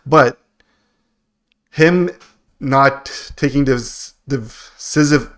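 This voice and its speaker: A man's voice: medium pitch, extremely slow, fairly bright tone. A man co-hosting a podcast, chatting casually about politics in a slangy, joking way. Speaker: podcast co-host.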